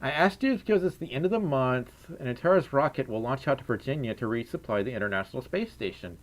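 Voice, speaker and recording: A man's voice: mid-range voice, expressive, fast talker. A young man with a scratchy voice, chatting playfully on a livestream, giggling and humming while he reads chat messages. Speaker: streamer. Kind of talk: casual livestream chat reading donations.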